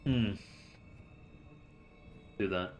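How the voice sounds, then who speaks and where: Chill guy streaming a game, vietnamese is his first language, kinda low voice, just rambling. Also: extremely slow, faint music behind. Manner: relaxed, rambling, chatty. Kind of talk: casual gameplay commentary.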